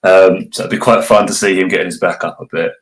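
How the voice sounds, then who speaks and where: A man's voice: low-pitched, fairly even intonation, quick pace. A man speaking as a guest in a casual online discussion, thinking his points through as he goes. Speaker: cast member, guest. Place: remote online voice call.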